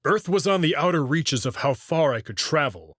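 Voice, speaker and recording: A man's voice: a little high, expressive, moderate pace, a bit bright, dry recording, studio quality. A man voicing a proud but guarded young male wolf alien prince in a visual novel, explaining himself earnestly and reluctantly. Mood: defensive, regretful. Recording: clear music behind the voice.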